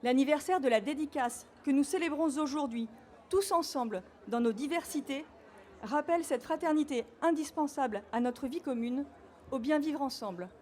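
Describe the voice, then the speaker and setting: A woman from Normandy giving a measured, solemn official speech at a ceremony. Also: high-pitched, darkish tone. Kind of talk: formal read speech.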